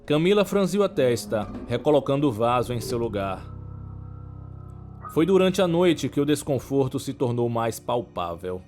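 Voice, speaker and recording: A man with a Brazilian accent narrating a scripted horror story in a measured, dramatic way. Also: mid-range voice, sing-song, darkish tone, soft background music.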